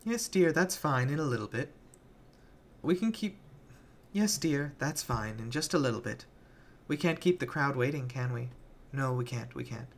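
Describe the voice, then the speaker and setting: A man's voice: mid-range voice, animated, moderate pace, creaky, pauses often, voice pushed up. A man voicing a cruel, deranged female monster in a horror story, with mocking, sing-song politeness. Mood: falsely sweet, unhinged.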